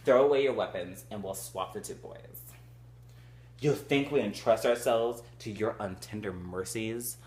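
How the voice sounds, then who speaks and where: A man reading a novel aloud for friends, shouting the characters' lines and breaking into crude jokes and laughter. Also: lowish voice, moderate pace, echoey room. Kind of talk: comedic group read-through with crude asides.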